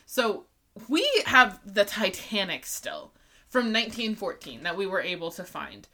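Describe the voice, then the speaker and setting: A woman co-hosting a casual podcast, chatty and quick with follow-up questions and jokes. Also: medium pitch, pushed and bright. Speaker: podcast co-host. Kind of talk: casual chat.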